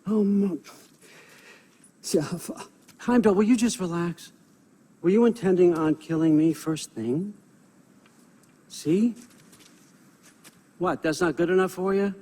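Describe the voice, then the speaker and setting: A man's voice: high voice, slow, long pauses, mellow, slightly rough, big-room sound, exaggerated voice. An actor playing a sly, sardonic older god-king in a video game, wheedling and conversational with menace underneath. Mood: breezy, teasing. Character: sly, wry older male Norse god-king and patriarch. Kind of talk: cinematic video game acting.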